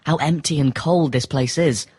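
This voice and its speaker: A man's voice: fairly high pitch, normal speed, darkish tone, husky, no room sound, pitched above the performer's own voice. A man voicing a bewildered, affectionate young boy in a storybook narration, speaking with wondering exclamations. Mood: bewildered, delighted.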